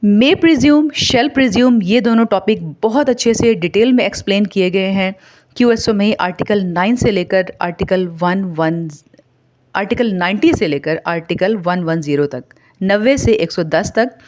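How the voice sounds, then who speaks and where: A woman with a Pakistani accent giving an explanatory lecture in a didactic way, mixing Urdu and English and slowing down to read aloud. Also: mid-range voice, a little mellow. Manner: didactic, code-switches between Urdu and English. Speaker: law lecturer. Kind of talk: explanatory exam lecture, alternating slow read-aloud with commentary.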